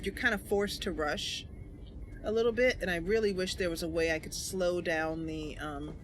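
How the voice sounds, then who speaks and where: A woman's voice: on the low side, steady tone, normal speed, a little hiss or hum. A woman hosting a gaming channel, giving casual, chatty commentary to viewers as she plays. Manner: chatty, talking to viewers while playing.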